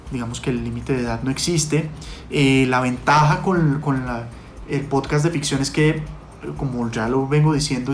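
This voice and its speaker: A man answering interview questions conversationally as a podcast producer, with hesitant, halting phrasing. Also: mid-range voice, a little hiss or hum.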